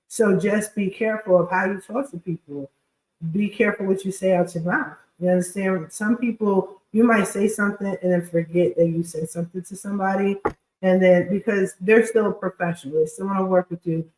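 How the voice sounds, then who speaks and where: A woman's voice: medium pitch, darkish tone. Casting: female livestream host, scratchy voice, informal and blunt chatty delivery, recorded in an echoing space. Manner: informal, blunt, slangy talk. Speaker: podcast host and credit repair specialist. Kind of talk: casual chatty livestream monologue.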